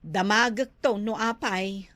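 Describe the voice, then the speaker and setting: A woman's voice: mid-range voice, steady tone, measured pace, fairly bright tone, natural voice. A woman acting a gossipy, teasing village friend in an Ilocano comedy drama, with an Ilocano Filipino accent. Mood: conversational.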